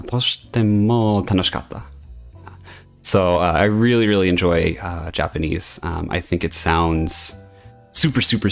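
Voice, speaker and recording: A man's voice: sits low, vocal fry. A man streaming from home, chatting earnestly and rambling, with frequent hesitations. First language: English. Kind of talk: casual debut stream chat.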